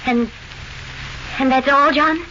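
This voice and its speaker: A woman playing an anxious young bride in a 1940s radio mystery, speaking in a hesitant, halting, insistent way. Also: high-pitched, fairly even intonation, pushed and bright, heavily acted. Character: anxious young bride haunted by first wife's death. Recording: noisy, muffled old radio recording.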